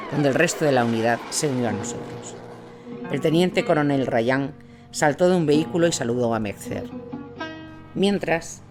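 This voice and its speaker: Male narrator over prominent music. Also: medium pitch. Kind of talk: scripted dramatic narration of a war story. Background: instrumental music.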